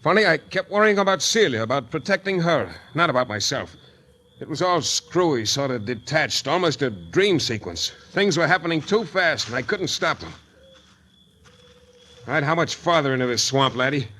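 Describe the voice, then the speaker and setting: An actor playing a tough, wry private detective, narrating and talking in clipped, intense 1940s radio style. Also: male voice, on the high side, sing-song, normal speed, bright, forceful tone, full character voice. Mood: concerned. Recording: 1940s radio broadcast recording.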